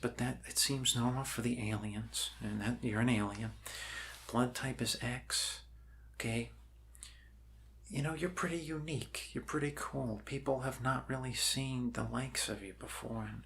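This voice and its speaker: A man's voice: fairly low pitch, bright, forceful tone. Man playing a rambling, reassuring doctor, noisy background. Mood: soothing, chatty. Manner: halting, casual, reassuring, with repeated words. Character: friendly, rambling male doctor welcoming an alien patient. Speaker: ASMR role-play performer playing a doctor. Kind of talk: improvised ASMR role-play.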